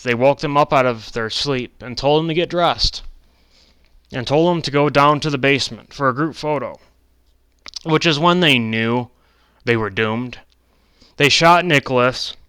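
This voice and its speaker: A man's voice: mid-range voice, a bit bright, clear, resonant tone, dry recording. A man in his twenties giving a rambling, casual lecture over slides on his own channel, often breaking off into digressions and correcting himself. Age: 20s. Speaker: channel host.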